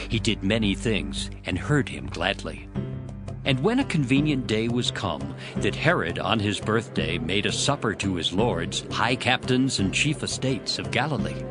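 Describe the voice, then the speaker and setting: Man narrating bible audio drama, expressive and measured, big swings in pitch, music behind him. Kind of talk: scripted dramatized scripture narration. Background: prominent background music.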